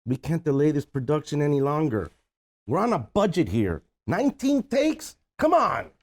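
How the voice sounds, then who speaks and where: Man as a pushy, high-voiced film producer. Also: very animated, normal speed, darkish tone. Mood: urgent, pleading. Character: pushy, pleading male film producer worried about budget. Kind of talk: table read of a comedy screenplay.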